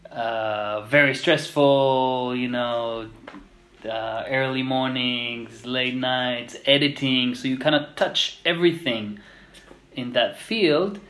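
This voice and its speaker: A man in his thirties from Israel, speaking as a podcast guest in short, halting, thoughtful phrases. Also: medium pitch, steady tone, a bit bright. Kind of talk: conversational interview. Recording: roomy echo.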